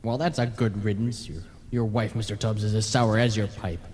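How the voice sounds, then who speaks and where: An actor playing a chatty, peevish, matter-of-fact ghost in a folksy old-time radio comedy manner, with hollow reverb on the voice. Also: male voice, fairly low pitch, steady tone, moderate pace, a little mellow, close to an everyday voice.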